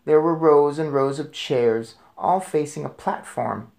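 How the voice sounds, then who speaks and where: A man narrating a children's storybook aloud in an expressive read-aloud style. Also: on the high side, unhurried, creaky.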